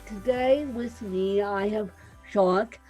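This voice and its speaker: A woman hosting an interview podcast, speaking deliberately as she puts questions to a guest. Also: medium pitch, dark tone, faint music behind. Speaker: podcast host.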